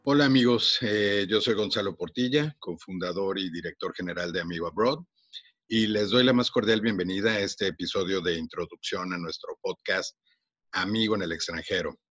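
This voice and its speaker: A man's voice: deep voice, slightly rough, dry recording, muffled recording. A Mexican man in his forties with a Mexican accent, hosting a podcast and telling his own story casually, with many fillers and restarts. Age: 40s. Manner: warm, unhurried, frequent fillers and restarts. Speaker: podcast host.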